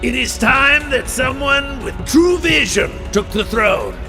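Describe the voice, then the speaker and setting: A man's voice: very high voice, animated, slow pace, exaggerated voice. An actor playing a mad, smug usurper king in a video game, with a taunting, theatrical delivery. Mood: mocking, gloating. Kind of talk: video game cutscene acting. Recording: music underneath.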